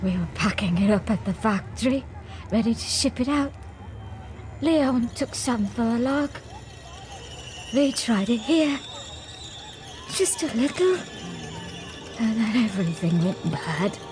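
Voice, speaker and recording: Dying teen addict for audiobook drama: high-pitched, very slow, weak, halting, lost. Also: female voice, expressive, darkish tone, soft background music. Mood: weak, confiding. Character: dying teenage girl drug user, weak and bewildered.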